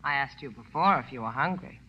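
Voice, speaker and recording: Sultry, wry club singer, teasing, reverberant vintage radio play. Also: female voice, extremely low pitch, lively intonation, a little fast, a little hiss or hum. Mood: cool. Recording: dull, reverberant old radio recording.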